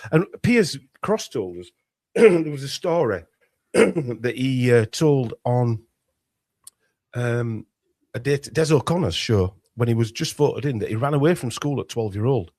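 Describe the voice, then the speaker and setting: A man's voice: mid-range voice, lively intonation, a little slow, darkish tone, dry recording. A man with a Yorkshire accent talking at length as an author guest in an interview, with many fillers and restarts. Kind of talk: conversational interview.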